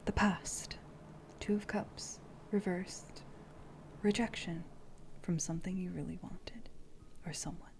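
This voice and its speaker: Hushed, slow-talking woman, often whispering. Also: on the low side, steady tone. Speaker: streamer reading tarot. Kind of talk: soft-spoken ASMR livestream chat, often whispered.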